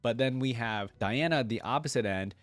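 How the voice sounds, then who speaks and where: A man's voice: mid-range voice, brisk, no echo. A man giving casual commentary and reading game lore aloud, explaining things and often checking in with the listener. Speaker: reaction video host.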